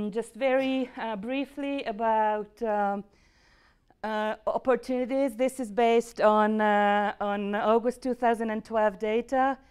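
A woman's voice: on the high side, steady tone, takes it slowly, a little mellow, smooth. Hungarian-accented woman giving a lecture. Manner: explanatory, frequent fillers. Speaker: market research presenter. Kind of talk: lecture presentation. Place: university lecture room.

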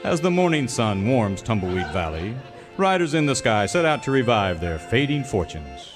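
An actor playing a wry, grandiose announcer narrating a parody of an old radio serial. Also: male voice, medium pitch, very animated, normal speed, over music, close to an everyday voice. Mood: mock-dramatic. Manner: grandiose, mock-dramatic.

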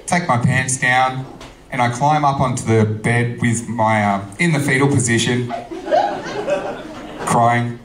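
A man's voice: mid-range voice, unhurried, pauses often, a crowd in the background. A man in his thirties with an Australian accent, telling crude stories in a stand-up set. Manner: crude, deadpan storytelling. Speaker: stand-up comedian. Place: comedy club stage, indoors. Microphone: handheld mic. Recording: roomy club hall.

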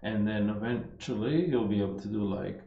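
A man's voice: on the low side, moderate pace, creaky. A man in his thirties with a slight Armenian accent, a fitness coach rambling casually through viewer questions on a live stream, full of fillers and restarts. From Los Angeles, United States. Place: indoor room. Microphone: wired earphone mic. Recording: dull echoey live-stream audio.

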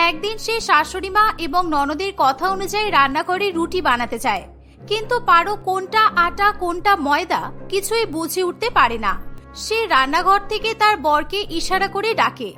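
A woman's voice: very high voice, sharp tone. A woman narrator reading a scripted cartoon story.

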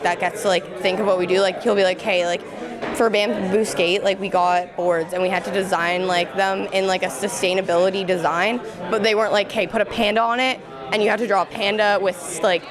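A woman's voice: medium pitch, little pitch movement, fairly quick. A young adult woman giving a casual interview in informal, youthful speech peppered with "like". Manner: heavy use of "like", informal youthful talk. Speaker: student alumni interviewee. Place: busy indoor school event room. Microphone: handheld interview microphone. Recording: busy crowd chatter behind.

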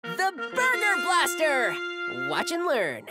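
A woman's voice: high-pitched, huge pitch swings, slow, loud music behind the voice, full character voice. A woman voicing a confident, showy young boy frog inventor in a cartoon, self-assured and declamatory. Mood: boastful. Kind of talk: cartoon voice acting.

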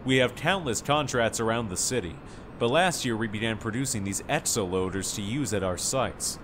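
A man's voice: fairly low pitch, expressive, moderate pace, fairly bright tone. A man playing a flustered, indignant factory owner with an emphatic, self-important manner in an audio drama. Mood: explaining. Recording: clear outdoor street noise behind.

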